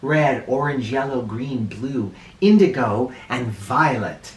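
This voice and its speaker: A man reads a children's storybook aloud at an unhurried pace with lively, swinging intonation. He sounds a bit away from the mic in a very echoey room. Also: medium pitch, distant mic, slight background noise. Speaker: children's read-aloud host. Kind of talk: animated storybook narration for children.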